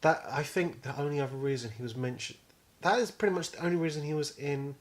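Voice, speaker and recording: A man's voice: fairly high pitch, fast. A young British man co-hosting a podcast, talking casually in a rambling, sweary way. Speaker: podcast co-host. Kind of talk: casual chat.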